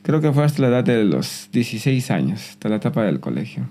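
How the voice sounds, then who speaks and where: A man, giving a personal testimony in a soft, reflective way with some hesitant restarts. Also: medium pitch, sing-song, fast talker. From Peru. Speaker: guest.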